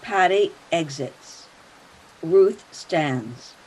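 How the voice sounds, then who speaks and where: A woman's voice: lowish voice, expressive, extremely slow, a little mellow, slightly rough, low-quality recording. A woman narrator reading stage directions for a play in scripted narration.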